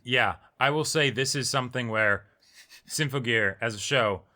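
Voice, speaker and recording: A man with a bright, clear-cut tone discusses an episode as co-host of an anime show. His delivery is casual and conversational, working ideas out as he speaks. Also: mid-range voice, moderate pace.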